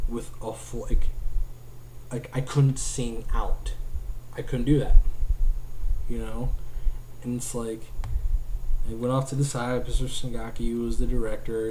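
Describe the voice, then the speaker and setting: A man's voice: mid-range voice, darkish tone, a little hiss or hum, rough recording. A young man talking casually to his webcam in a small room at home, rambling with lots of "like" and many restarts. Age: young adult. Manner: rambling, heavy use of "like", restarts often. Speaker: vlogger. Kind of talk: casual talk to camera. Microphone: webcam at desk distance.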